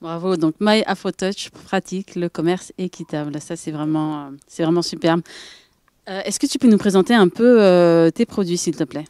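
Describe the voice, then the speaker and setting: A woman's voice: on the low side, normal speed, mellow, no echo. A woman hosting a TV interview, upbeat and full of compliments. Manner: enthusiastic, complimentary. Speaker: TV show host.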